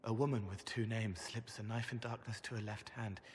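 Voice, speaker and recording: An actor voicing a gentle young spirit in a fantasy game, speaking in cryptic, poetic fragments. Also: male voice, fairly low pitch, steady tone, a little fast, big-room sound, close to an everyday voice. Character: gentle, otherworldly young male spirit boy.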